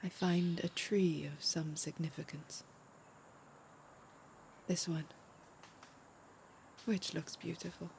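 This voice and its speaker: A woman's voice: fairly low pitch, fairly even intonation, slow pace, gravelly, pauses often, a little mellow, a little hiss or hum. An actress playing a sentimental, heartbroken woman clinging to her lover in an audio drama. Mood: mournful, reflective. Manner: emotional, pleading, echoing the other's words.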